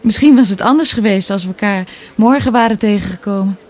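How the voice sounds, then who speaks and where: Woman playing young daughter, mellow dark tone, melancholy, guarded, radio play dialogue. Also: mid-range voice, fairly quick, close to an everyday voice. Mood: pensive. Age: young adult.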